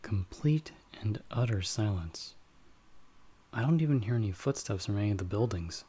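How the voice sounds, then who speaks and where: Low-voiced, measured bounty hunter played by a man. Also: expressive, warm tone, slightly rough. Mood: calm, watchful. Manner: measured, explanatory, slightly formal. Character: calm, watchful male bounty hunter with magical wards. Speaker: audio roleplay performer. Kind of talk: ASMR audio roleplay acting, speaking to the listener as companion.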